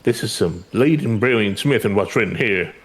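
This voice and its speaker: A man's voice: on the low side, animated, normal speed, lightly acted. A man voicing a brash, boastful master weaponsmith in a fantasy game, with blunt, sardonic, rustic slangy speech. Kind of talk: fantasy game dialogue read aloud.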